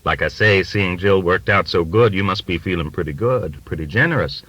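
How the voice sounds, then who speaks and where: A man's voice: sits low, a bit bright, dry recording, muffled recording. A man voicing a plump, middle-aged hustler in an audiobook, jovial and teasing, with a folksy, cajoling way of talking. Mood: wheedling. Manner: folksy, ingratiating, drawn-out cajoling.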